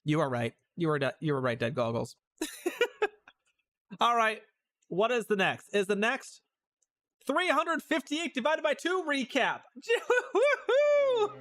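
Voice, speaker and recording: A woman's voice: fairly high pitch, very animated, slow pace, long pauses, a bit bright. A woman giving casual, playful and giggly livestream commentary as she reacts to video game recaps.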